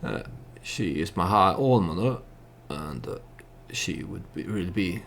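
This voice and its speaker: A man giving unscripted, storytelling commentary on a game he plays, halting as he thinks aloud and speaks for his character. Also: low-pitched, expressive, a bit bright, a little hiss or hum. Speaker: let's play host.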